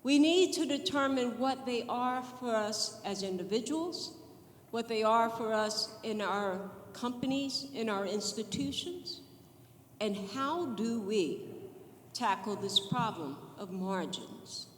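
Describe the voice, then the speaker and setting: A moderator opening a conference panel with measured rhetorical questions. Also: medium pitch, animated, a bit bright, slight background noise. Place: conference hall. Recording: roomy hall reverb.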